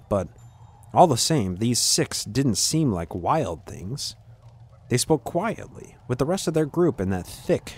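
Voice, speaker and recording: A man narrating scripted fantasy fiction in a measured, dramatic storytelling manner with deliberate pauses. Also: mid-range voice, husky.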